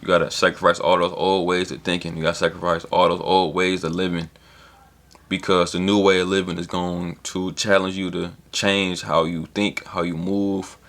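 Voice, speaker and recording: A man's voice: low voice, flat delivery, normal speed, a bit bright. A man in his early twenties giving a loose, rambling motivational talk in slang, with casual swearing, as a solo vlogger. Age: 20s.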